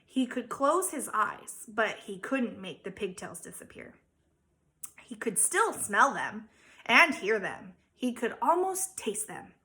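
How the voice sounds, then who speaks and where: A woman, a teacher, reading a children's story aloud with dramatic emphasis and theatrical pauses. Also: fairly high pitch, big pitch swings, bright, forceful tone. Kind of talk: expressive children's storybook narration.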